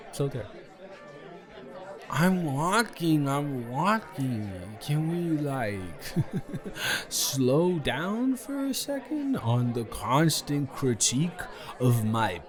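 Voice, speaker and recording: A man playing a tipsy, stubborn male roommate at a party, rambling and repeating himself in an improvised audio roleplay. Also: fairly high pitch. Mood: indignant, playful. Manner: rambling, tipsy, dragged-out and repetitive. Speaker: audio roleplay performer, channel creator.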